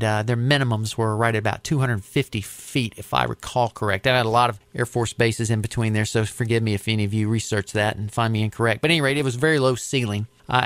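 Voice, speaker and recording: A middle-aged man with an American accent, telling his experiences in a conversational interview in a reflective, explanatory way with halting phrasing. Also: medium pitch, fairly bright tone, clean tone, no echo. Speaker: interview guest, aviation safety advocate.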